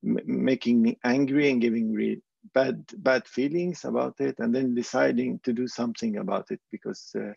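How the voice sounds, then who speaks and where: Gravelly man on a dull dry line. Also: mid-range voice, fairly even intonation, normal speed, a little mellow, dry recording. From Palestine. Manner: hesitant, many fillers. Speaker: panel speaker, circus clown. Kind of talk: panel talk.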